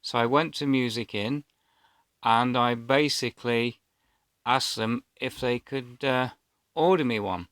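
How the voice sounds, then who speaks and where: Middle-aged male vlogger. Hesitant, rambling storyteller, clear smooth tone, very dry close sound. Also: mid-range voice, a little slow, fairly bright tone, no room sound. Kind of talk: casual unscripted personal storytelling to camera.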